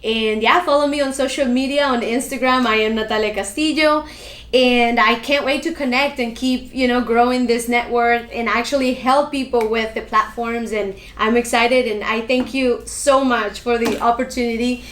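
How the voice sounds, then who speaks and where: A woman's voice: fairly high pitch, fairly even intonation, moderate pace, fairly bright tone. Earnest motivational woman in an echoey room. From Colombia. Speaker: guest, founder of a nonprofit. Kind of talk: interview answers.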